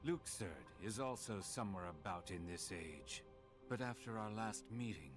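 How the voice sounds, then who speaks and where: A man plays a coolly formal villain strategist from a video game. The voice is very low and the delivery is measured and stoic. Music is clearly heard underneath. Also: animated, close to an everyday voice. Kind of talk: dramatic video game cutscene acting.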